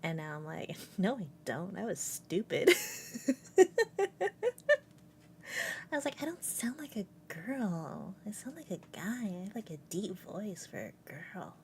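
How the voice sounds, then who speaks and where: A woman's voice: mid-range voice, big pitch swings, measured pace, a little hiss or hum. A young adult woman streaming from home, chatting casually and giggling often between rambling thoughts. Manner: rambling and giggly, with many fillers. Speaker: VTuber streamer. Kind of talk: casual live-stream chat. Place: at home with a cat on her lap.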